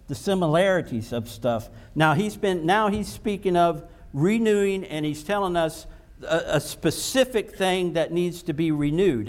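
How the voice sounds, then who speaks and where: A man preaching a sermon, slow and emphatic, repeating words for stress. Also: a little high, darkish tone.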